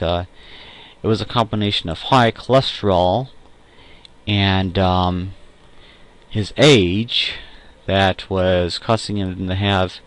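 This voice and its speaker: A young man with a fairly low voice records a casual solo audio log. He speaks off the cuff in a halting, hesitant way, often pausing to find a word or rephrase what he has just said. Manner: hesitant, halting, self-correcting, with frequent pauses. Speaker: amateur audio log host. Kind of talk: casual unscripted tech and personal monologue.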